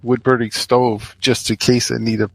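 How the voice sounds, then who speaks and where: Male podcast co-host, medium pitch, dry sound. Practical, plainspoken, conversational. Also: flat delivery, normal speed, vocal fry, slightly rough, dry recording, low-quality recording. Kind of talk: casual chat about preparedness.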